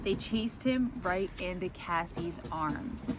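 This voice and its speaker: A woman reading a children's picture book aloud as a teacher would, expressively acting out the story. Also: slow. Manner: expressive, acts out the characters' lines. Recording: clear background noise, ambient rumble.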